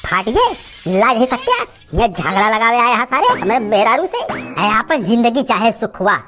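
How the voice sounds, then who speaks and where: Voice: medium pitch, sing-song, moderate pace, a bit bright, faint music behind. A performer voicing a cantankerous, lazy village uncle in an Awadhi comedy cartoon, scolding and rambling with stubborn, abusive bluster. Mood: defiant. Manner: blustering, scolding, rambling rants full of abuse.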